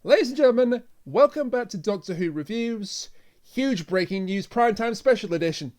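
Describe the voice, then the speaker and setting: A man's voice: sits high, lively intonation, moderate pace, darkish tone. A man hosting a casual panel review show, introducing and steering the talk. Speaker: show host.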